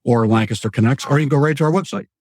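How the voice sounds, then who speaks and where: A man hosting a local business talk show, relaxed and wry. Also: mid-range voice, racing, dry recording, studio quality. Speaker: host.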